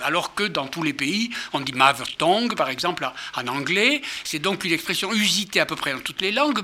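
A man's voice: high voice, pushed and bright, slight background noise. An older man giving a lecture to an audience, hesitant with many fillers and restarts, and fond of rhetorical questions. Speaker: author and lecturer.

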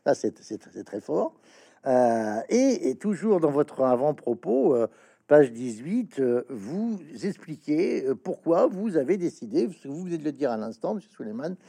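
A man hosting an interview, asking questions in a halting way with many stammered restarts and fillers. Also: on the high side, big pitch swings, gravelly, dark tone. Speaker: interviewer, host. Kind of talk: interview questions, reading passages aloud.

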